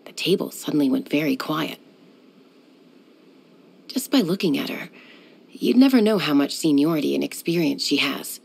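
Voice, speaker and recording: Husky, low cool sky-hunter woman. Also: expressive, normal speed, long pauses, a little mellow, exaggerated voice. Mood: composed. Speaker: game character. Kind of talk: video game voice lines.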